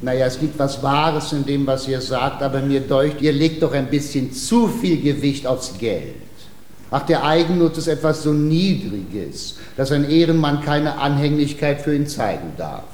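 An actor playing an earnest, high-minded dancing master with airs, speaking in a pompous, florid way in a German radio comedy. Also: male voice, animated, a little slow, a little mellow, echoey room, a little hiss or hum, lightly acted. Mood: principled, earnest. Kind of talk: German radio play, theatrical comedy acting.

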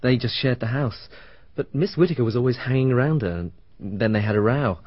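An actor playing a gossipy, confiding local in a radio drama. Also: male voice, medium pitch, fairly quick, breathy edge, dry recording, natural voice. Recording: dull dry radio drama recording.

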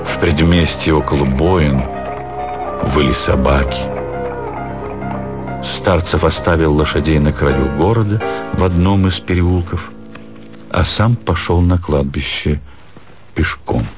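A man's voice: very deep voice, slow, long pauses. A man narrating a literary story in a scripted style for a radio drama. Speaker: narrator, actor reading a story. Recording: faint music behind the narration.

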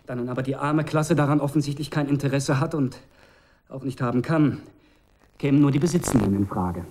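An actor playing a cool, calculating young strategist in a radio drama, speaking in formal, measured, precise phrases. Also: male voice, mid-range voice, noisy background, natural voice. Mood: calculating, persuasive. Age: young adult. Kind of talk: German radio drama acting.

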